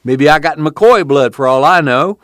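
A man's voice: on the high side, moderate pace, fairly bright tone, no room sound, pitched above the performer's own voice, lightly acted. Man acting old grandfather, rural American accent, cantankerous, boastful, folksy, storybook character, close dry sound. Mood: scornful bragging. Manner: blustering, cussing, folksy talk.

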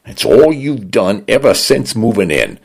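A man voicing a talking smart home, a male voice with a British, Cockney-leaning accent, bright and friendly but bossy. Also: on the low side, very animated, unhurried, creaky, breathy edge, pitched above the performer's own voice, exaggerated voice. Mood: lecturing. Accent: Cockney-leaning British, put on.

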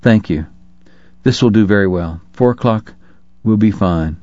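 A male narrator plays a polite, subdued Jesuit priest from a Western novel. His speech is formal and quiet. The sound is dry and slightly dull. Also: on the low side, unhurried, no room sound, natural voice. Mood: polite, restrained.

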